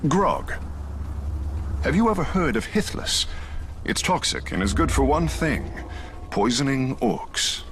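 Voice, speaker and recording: An actor playing a human deserter turned rebel leader in a fantasy game, with a wry, easygoing yet earnest manner. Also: male voice, mid-range voice, very animated, slow, fairly bright tone, a little hiss or hum, heavily acted. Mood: urgent, hopeful. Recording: music behind the voice. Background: game music.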